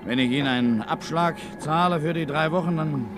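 An actor playing a curt, evasive first mate of a cargo ship in a 1950s German radio play. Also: male voice, fairly high pitch, brisk, lightly acted. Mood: wary, evasive. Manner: curt, authoritative questioning. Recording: noisy 1950s radio recording.

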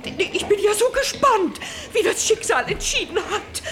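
An actress in a German radio play voicing an eccentric, excitable literary aunt with an affected, high-flown manner. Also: female voice, measured pace. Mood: excited, dramatic.

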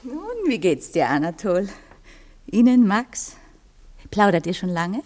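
An actress playing a playful, trusting young sweetheart in a classic German radio play, with a coquettish, soft and compliant manner. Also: female voice, medium pitch, big pitch swings, takes it slowly.

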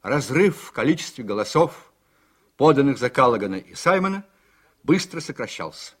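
A man narrating a political radio drama in a measured, literary storytelling manner, as an actor. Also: mid-range voice, sing-song.

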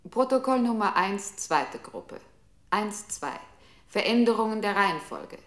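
Impersonal, commanding computer voice. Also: female voice, mid-range voice, big pitch swings, unhurried, a bit bright. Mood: impersonal, procedural. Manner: clipped, formal announcing of numbers and commands. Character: female computer control unit, impersonal, commanding, systematic. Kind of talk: 1960s German experimental radio drama.

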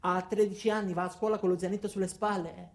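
An actor playing Alfredo, an ordinary weary man confiding his story haltingly in a theatrical radio monologue. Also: sits high, level tone. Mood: reflective, weary. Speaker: monologue narrator. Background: music.

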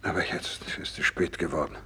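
Husky, very deep dying doctor. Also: male voice, fairly even intonation, bright, forceful tone, full character voice. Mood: devout, resigned. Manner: slow, solemn, resigned, fervent. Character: gravely ill, devout, selfless male Japanese doctor nearing death. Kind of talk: 1960s German radio drama acting.

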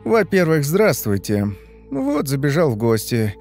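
A man's voice: fairly high pitch, wildly swooping pitch, measured pace, darkish tone, faint music behind, voice pushed up, exaggerated voice. Man acting out an exasperated, defiant brother. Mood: uneasy. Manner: irritated, snapping, emphatic. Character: exasperated, defiant man confronting his freeloading relatives.

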